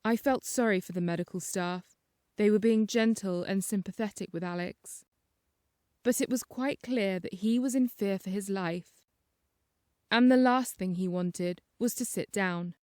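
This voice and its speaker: A woman narrates a first-person short story in a scripted audiobook style. Her pitch sits in the middle range. The recording is very dry, with little room sound. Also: measured pace, a little mellow, dry recording.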